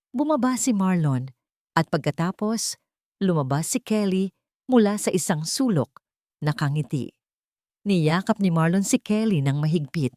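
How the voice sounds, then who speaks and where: A woman with a fairly low voice narrates a scripted romantic story. Her delivery is measured and earnest, and the recording is dry and close, with little room sound. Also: darkish tone, no room sound.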